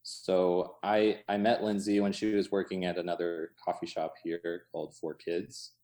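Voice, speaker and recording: A man talking casually as an interview guest, in an informal, halting way full of hedging asides. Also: sits low, flat delivery, dark tone. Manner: informal, halting, many hedging asides, chuckles through speech. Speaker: interview guest, coffee shop co-owner. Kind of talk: casual chat. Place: inside his cafe.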